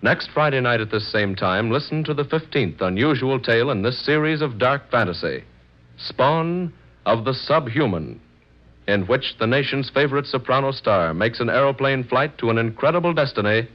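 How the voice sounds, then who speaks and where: A man with a medium-pitched voice makes formal radio announcements. His scripted delivery is measured and old-fashioned. The recording sounds muffled and dated. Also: lively intonation.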